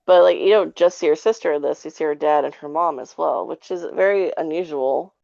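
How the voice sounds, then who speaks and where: A woman's voice: low-pitched, fairly quick, gravelly, mellow, no room sound, muffled recording. A woman giving her take in a casual, rambling review chat with an informal way of talking. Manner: rambling, informal, frequent 'like'. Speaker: podcast guest reviewer.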